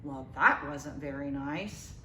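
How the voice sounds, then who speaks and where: Slow, bright woman reading aloud to children. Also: low-pitched. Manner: expressive, drawn-out delivery addressed to young children. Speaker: principal reading bedtime stories to young children. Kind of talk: animated read-aloud of a picture book with chatty asides. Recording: echoey, roomy sound.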